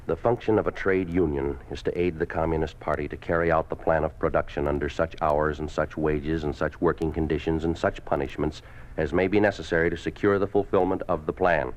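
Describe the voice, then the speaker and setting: An American actor playing a cold, domineering Communist official in a 1950s radio drama, curt and commanding. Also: male voice, extremely low pitch, steady tone, a little fast. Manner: curt, commanding, clipped orders. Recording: dull, lo-fi 1950s radio recording.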